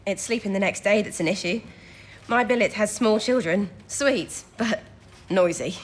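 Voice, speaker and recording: A woman's voice: mid-range voice, moderate pace, a little hiss or hum, natural voice. A young woman playing an eager, modest teenage recruit to wartime codebreaking in a period radio drama, chatty and polite. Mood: helpful. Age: young adult.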